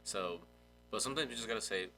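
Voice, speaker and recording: A man's voice: lowish voice, quick pace, sharp tone, slight background noise. A young man hosting a podcast interview, chatting in an informal, slangy way. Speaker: podcast host. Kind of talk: casual chat.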